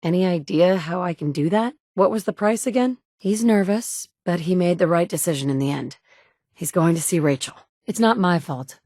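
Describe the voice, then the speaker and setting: A woman's voice: on the low side, moderate pace, mellow, no room sound, natural voice. An actress voicing a tough, direct female survivor in a video game, speaking in a matter-of-fact way in a studio booth. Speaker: player character. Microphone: close studio mic.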